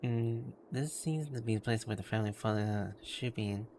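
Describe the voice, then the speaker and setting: A man's voice: lowish voice, moderate pace, noisy background. A man livestreaming a game walkthrough, talking casually and hesitantly to himself as he plays. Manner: hesitant, muttering to himself while playing. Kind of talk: casual live walkthrough commentary. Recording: loud game music behind voice. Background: loud game music.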